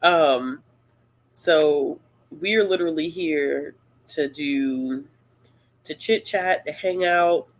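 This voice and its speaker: A woman hosting a casual live-stream Q&A, talking in a relaxed, rambling and playful way. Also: sits low, slow. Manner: laid-back, rambling, playful. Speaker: booktuber host of a live Q&A.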